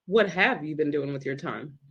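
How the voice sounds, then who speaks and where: A woman's voice: sits low, moderate pace, a bit bright, breathy edge, highs cut off, exaggerated voice. A woman playing a prim, proper older nurse, coaxing but firm, at a table read. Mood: pointed, polite.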